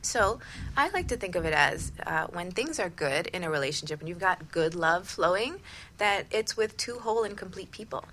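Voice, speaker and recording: A woman's voice: fairly low pitch, animated, moderate pace, a bit bright, slight background noise. A woman hosting a conversational radio talk show, affirming and often checking in with "right?".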